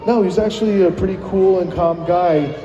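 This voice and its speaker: Dark-voiced man telling a rambling story. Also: high voice, fairly quick. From New Jersey. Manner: rambling, animated storyteller with many fillers. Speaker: guest musician. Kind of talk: casual radio interview storytelling. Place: live broadcast at a plaza venue. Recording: echoey live room with long reverb.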